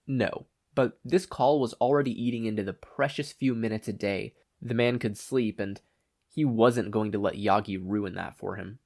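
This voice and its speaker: A man's voice: fairly low pitch, moderate pace, a little mellow. A man reading fan fiction aloud as scripted narration. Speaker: podfic narrator.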